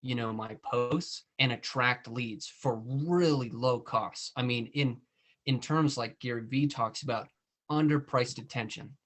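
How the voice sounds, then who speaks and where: A man's voice: medium pitch, unhurried, fairly bright tone. Chatty male podcast host. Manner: conversational, frequent "you know" and "I mean". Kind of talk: casual interview chat.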